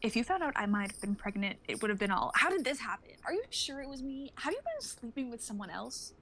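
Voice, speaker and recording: A woman's voice: fairly high pitch, sing-song, quick pace, a bit bright, exaggerated voice. A woman playing an anxious, lonely young woman in a dramatic script reading over noisy livestream audio. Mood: exasperated, despairing.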